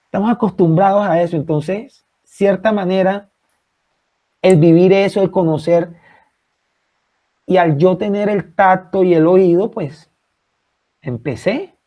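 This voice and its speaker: A man's voice: high voice, measured pace, long pauses, muffled recording. A man from Colombia with a Caribbean accent, answering in an interview as a writer, emphatic and repeating phrases for effect.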